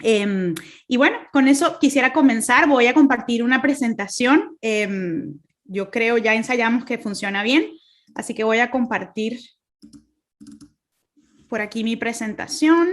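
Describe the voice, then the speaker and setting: Venezuelan lady on a video call, earnest talk, lots of ums and restarts, a bit high pitched. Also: measured pace. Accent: Venezuelan Spanish, Caracas. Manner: earnest, many fillers and restarts. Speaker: guest speaker. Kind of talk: online faith talk.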